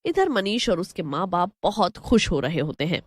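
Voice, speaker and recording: A woman's voice: on the low side, sing-song, normal speed, no room sound. A woman narrating a scripted horror story as a storyteller.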